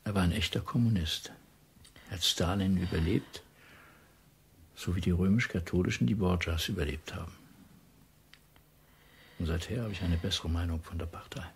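Weary middle-aged male spy, low, very husky and breathy, conscience-torn, radio drama. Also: normal speed, long pauses, heavily acted. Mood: weary, subdued. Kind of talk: intimate German radio play acting.

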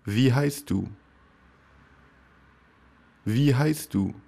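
Male lesson reader: very slow, dark, gravelly, careful drill delivery. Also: medium pitch. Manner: slow, careful, each phrase said three times. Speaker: language teacher. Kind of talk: slow repeated phrase drill.

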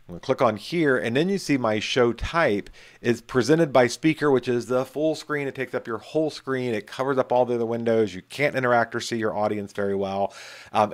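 A middle-aged man giving a casual, chatty screen-share tutorial, talking from a home office into a webcam and leaning on emphatic repeated words. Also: lowish voice. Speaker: instructor.

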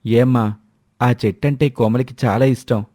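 A man's voice: mid-range voice, fairly even intonation, moderate pace, dark tone, dry recording, studio quality, close to an everyday voice. A man voicing an exasperated young village farmer son in a cartoon story. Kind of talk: cartoon moral-story dialogue.

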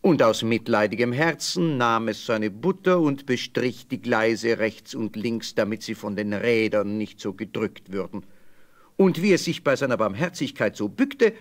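A man's voice: medium pitch, expressive, a little slow. A man narrating a scripted fairy tale as a storyteller.

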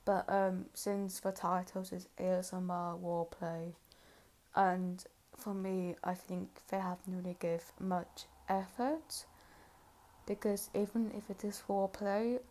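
A woman's voice: medium pitch, darkish tone. A woman giving casual, hesitant commentary as a reviewer in a reaction video. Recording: faint road traffic noise. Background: cars on a busy road.

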